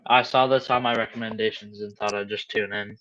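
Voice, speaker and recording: A man from Florida, a guest on a livestream, talking in a hesitant, halting way. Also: on the low side, steady tone, fairly bright tone, highs cut off, a little hiss or hum. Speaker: stream guest. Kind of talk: casual chat.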